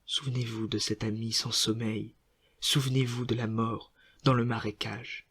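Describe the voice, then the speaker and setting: A man reciting literary poetry in a solemn, measured manner, setting short phrases apart with deliberate pauses. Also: medium pitch, level tone, fairly bright tone, husky. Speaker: poetry reader.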